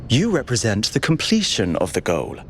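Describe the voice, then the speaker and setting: Game role: cautious, dutiful android official, mid-pitched with varied intonation, audible background rumble. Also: male voice, wildly swooping pitch, normal speed, fairly bright tone. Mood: weary, disapproving. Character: cautious, overworked male android bureaucrat, chief aide. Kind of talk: video game dialogue.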